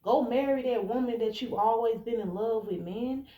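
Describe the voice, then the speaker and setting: A woman's voice: fairly high pitch, moderate pace, narrow, tinny audio. An American woman of about thirty-one giving blunt, casual, emphatic relationship advice straight to the listener in an informal monologue. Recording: echoey room, faint background noise.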